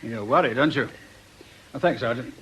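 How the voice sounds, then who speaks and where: An actor playing an affable, dry middle-aged police inspector in a conversational radio drama style. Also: male voice, mid-range voice, brisk, a bit bright, lo-fi sound, slight background noise, close to an everyday voice. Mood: probing, rueful.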